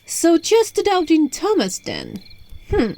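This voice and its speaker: A woman's voice: very high voice, huge pitch swings, slow pace, mellow, full character voice, poor audio. A woman voicing an alluring, playful witch in an ASMR roleplay, teasing and coaxing the listener, recorded on a phone. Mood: earnest, coaxing. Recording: phone recording with music and night crickets.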